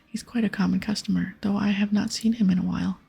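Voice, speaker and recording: A woman voicing a friendly, gossipy tavern innkeeper from a fantasy game in a breathy half-whisper. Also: medium pitch, steady tone, fast, mellow, faint music behind, heavily acted. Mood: chatty.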